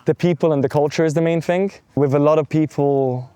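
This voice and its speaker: A young man answers interview questions in a measured, thoughtful way, leaning on little phrases as he thinks. His voice is smooth and resonant at an ordinary pitch. The sound is dry and close. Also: fairly even intonation, dark tone, no room sound. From London, England. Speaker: interview guest.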